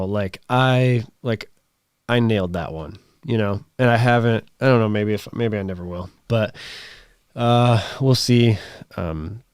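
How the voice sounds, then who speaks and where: Man, thirties, raspy strained voice, rambling livestream chat, low pitch, dry close sound. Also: a little mellow, dry recording. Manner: rambling, many fillers. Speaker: livestream host. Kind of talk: casual live chat answering comments.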